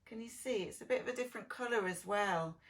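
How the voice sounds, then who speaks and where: A woman teacher telling a story to preschool children in a sing-song, exaggerated way, gently asking them questions. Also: medium pitch, fast. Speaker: preschool early years teacher reading a story.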